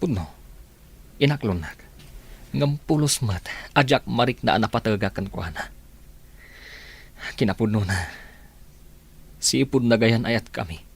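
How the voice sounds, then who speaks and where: A man with an Ilocano accent playing a lovelorn, heartbroken villager in a radio drama, husky, plaintive and earnest. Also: mid-range voice, animated, measured pace, long pauses, exaggerated voice. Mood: downcast.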